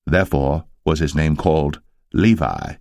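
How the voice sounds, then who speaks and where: Male scripture narrator: low register, solemn, measured storytelling, close dry sound. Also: darkish tone, no echo.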